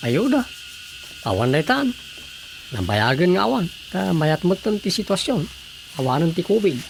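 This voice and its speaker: An actor playing a concerned, grumbling village councilor in a radio drama comedy, with a Filipino accent. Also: male voice, high-pitched, very animated, normal speed, creaky, heavily acted. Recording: faint background noise.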